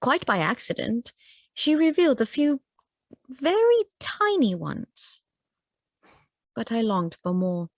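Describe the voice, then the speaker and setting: An actress playing a sheltered, eager young gentlewoman in a radio play, with an earnest, measured delivery. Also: on the high side, big pitch swings, no echo, studio quality, exaggerated voice. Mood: wistful, earnest. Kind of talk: dramatic radio play acting.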